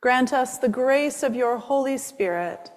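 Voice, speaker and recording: Woman priest, fairly high voice, chatty announcements, ringing church reverb. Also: lively intonation, measured pace. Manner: chatty, self-interrupting with fillers. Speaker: priest leading the service. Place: church sanctuary. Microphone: microphone.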